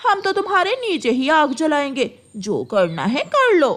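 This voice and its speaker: A woman's voice: high-pitched, very animated, measured pace, fairly bright tone, heavily acted. A woman voicing a brash, mischievous female crow in a cartoon, with a mocking, defiant manner. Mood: scornful, defiant.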